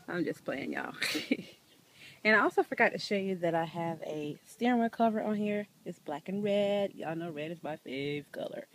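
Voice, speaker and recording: A woman's voice: fairly low pitch, animated, moderate pace. A woman in her thirties chatting casually to viewers while filming a tour of her car on a handheld phone, laughing often. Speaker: vlogger. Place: outdoors and inside a parked car. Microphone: handheld phone camera.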